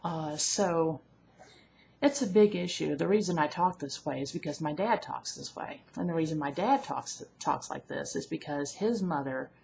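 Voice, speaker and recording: A woman's voice: low-pitched, normal speed, vocal fry, a little mellow. A woman in her thirties from Alabama with a mild Southern accent, chatting candidly to a webcam at home and often correcting herself mid-sentence. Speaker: vlogger. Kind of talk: casual talk to camera. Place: at home indoors. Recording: dull lo-fi webcam audio with faint hiss.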